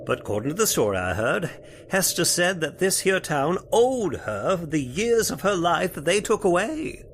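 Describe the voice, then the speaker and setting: A man's voice: sits high, very animated, normal speed, slight background noise. A man playing a folksy, spooked cemetery caretaker in old-time radio horror style, with rustic turns of phrase. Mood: ominous. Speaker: voice actor.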